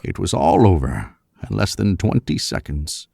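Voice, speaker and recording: An actor playing a shrewd older professor, speaking with pompous, deliberate emphasis in 1940s radio mystery style. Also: male voice, sits low, sing-song, gravelly, darkish tone, a little hiss or hum, heavily acted. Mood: dramatic, accusing. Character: shrewd, theatrical older professor, father unmasking a killer.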